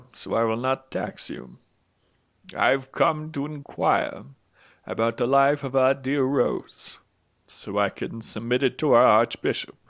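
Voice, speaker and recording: Gravelly old priest, formal and courteous played by a man. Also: mid-range voice, no room sound, clean studio sound. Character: courteous, solemn old priest on official business. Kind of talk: audio drama acting.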